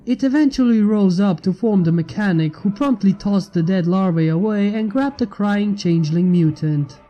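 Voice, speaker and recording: A man's voice: high voice, moderate pace, dark tone, lo-fi sound. A man reading a fantasy story aloud as narrator, with music behind him. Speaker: fan fiction reader. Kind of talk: scripted story narration with character voices. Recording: music under the voice. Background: background music.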